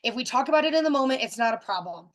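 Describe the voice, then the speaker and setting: A woman with a somewhat high voice hosts a casual webinar. She speaks directly and with big energy, often restarting her sentences and padding them with fillers. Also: lively intonation, rapid-fire. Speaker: webinar host. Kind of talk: casual teaching talk.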